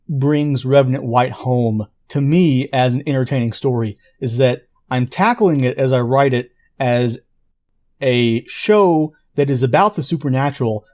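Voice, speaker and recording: A man who writes an audio drama, explaining it in an earnest, informal pitch. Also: a little mellow.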